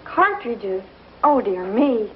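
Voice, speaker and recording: A woman's voice: on the high side, expressive, takes it slowly, a little hiss or hum. A woman playing a prim, kindly young frontier schoolteacher with proper speech in an old television western. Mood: firm. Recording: dull old TV soundtrack, music behind.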